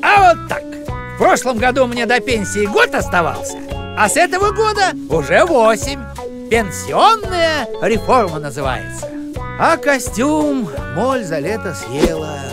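An actor playing a grumpy, prickly older village postman in an animated cartoon, grumbling and curt. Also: mid-range voice, measured pace. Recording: music under the voice.

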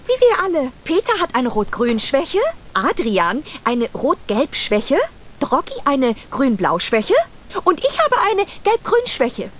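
A woman voicing a chirpy, naive, excitable girlish character in a cartoon adventure game, gushing and giggly in a sing-song way. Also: sits high, takes it slowly, full character voice. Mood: giggly, apologetic.

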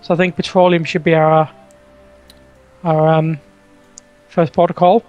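A man co-hosting a game stream in casual chat, teasing and quick to giggle while he plans out loud, on a headset mic. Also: fairly high pitch, flat delivery, smooth, muffled recording. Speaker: co-host. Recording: faint game music behind.

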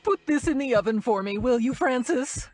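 A woman playing a nasty older female teacher in a cartoonish game cutscene, theatrical and exaggerated, turning shrill when excited. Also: loud music behind the voice, lightly acted. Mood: bossy. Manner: exaggerated, slow, melodramatic. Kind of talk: animated game cutscene acting.

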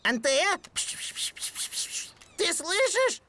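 A squeaky fussy green sand fairy. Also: female voice, big pitch swings, drawn-out words, slight background noise, full character voice. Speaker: voice actor in animated series dub. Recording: studio voice with loud music behind.

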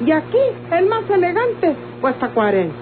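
An actress playing a feisty, unpolished young daughter in an old radio drama, blunt and colloquial, with a rural Mexican accent. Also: female voice, very high-pitched, sing-song, moderate pace. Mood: chatty. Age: young adult. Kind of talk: old radio-novela acting. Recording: noisy, humming, tinny old radio recording.

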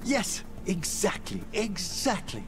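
Genteel older necromancer, animated and eloquent. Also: male voice, sits high, takes it slowly, creaky, over music, exaggerated voice. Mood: courteous. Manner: formal, eloquent, courteous. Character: tall, gentle older male necromancer scholar, formal and wistful. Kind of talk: video game dialogue acting.

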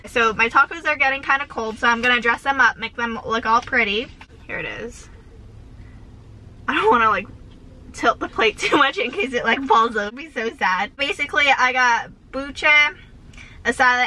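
Bubbly bright-voiced young woman vlogging in her car. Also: fairly high pitch, rough recording. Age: young adult. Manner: bubbly, says "like" constantly, giggly. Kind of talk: casual chatty vlog with eating. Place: inside a parked car. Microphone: camera on dashboard facing her.

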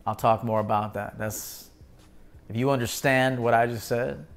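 A man in his thirties with a gravelly, mid-pitched voice hosts a live stream. He talks casually and at ease, shouting out viewers as he reads their comments. He is recorded in a small indoor studio through a webcam. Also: steady tone, moderate pace. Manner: laid-back, shouting out viewers by name. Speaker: host.

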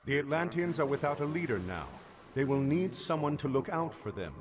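A man's voice: a little high, very animated, a little fast, slight background noise. An actor playing a solemn, wise father guiding his son in a video game cutscene.

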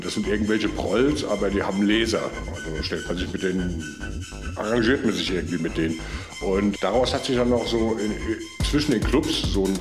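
A man telling casual anecdotes as an interviewee. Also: low voice. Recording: echoey, music under the voice.

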